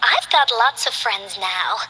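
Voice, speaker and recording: A woman's voice: on the high side, lively intonation, takes it slowly, bright, forceful tone, slightly rough, heavily acted, rough recording. A woman voicing a cheerful, chatty young girl who loves stories, eagerly asking questions in game character voice lines.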